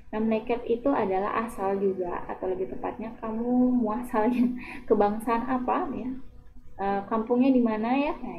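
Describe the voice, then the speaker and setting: Woman teacher on tinny audio. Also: mid-range voice. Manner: patient, explanatory, frequent fillers and repetitions. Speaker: language teacher. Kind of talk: explaining a Turkish lesson in Indonesian.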